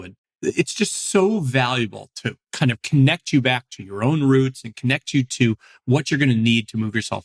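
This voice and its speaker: A male podcast host talks in a hoarse voice, worn down by a cold. He is chatty and upbeat and pokes fun at himself as he runs a casual interview. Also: medium pitch. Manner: chatty, enthusiastic, self-deprecating jokes.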